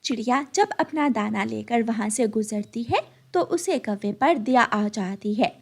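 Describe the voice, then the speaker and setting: A woman's voice: fairly high pitch, expressive, a little slow. A woman narrating a scripted children's story.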